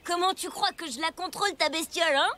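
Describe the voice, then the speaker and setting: High-pitched bratty cartoon boy. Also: fast talker, slight background noise. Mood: taunting. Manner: taunting, boastful. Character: bossy, bratty, mocking prehistoric boy. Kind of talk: French cartoon dub. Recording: loud cartoon music behind the voice.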